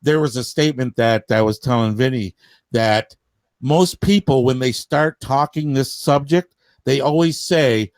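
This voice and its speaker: A man's voice: medium pitch, a little slow, a little mellow, no echo. A man hosting a casual group debate, pushing back with pointed questions. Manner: challenging, questioning.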